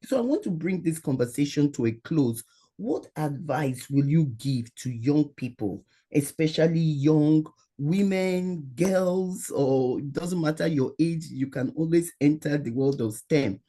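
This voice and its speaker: A man hosting a conversational interview, speaking earnestly and haltingly, often repeating his phrases. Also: on the high side, expressive, dark tone, husky. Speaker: interview host.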